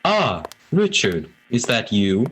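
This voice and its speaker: A man playing a kindly, hearty old small-town priest with a husky voice in an amateur radio play. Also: fairly low pitch, huge pitch swings, slow, fairly bright tone, slight background noise, heavily acted. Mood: warm, welcoming.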